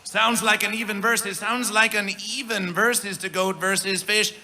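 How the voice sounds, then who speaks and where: A man working as a festival emcee, declaiming grandiose mock-prophetic hype from the stage in a drawn-out, theatrical way. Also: high-pitched, steady tone, bright, forceful tone, soft background music.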